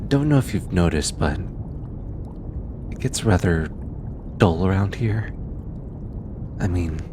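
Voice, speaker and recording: A man voicing a gentle, teasing alien researcher in an intimate ASMR roleplay, hesitant and affectionate. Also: low voice, noisy background. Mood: warm, earnest. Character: gentle, teasing, grateful male alien researcher aboard spacecraft.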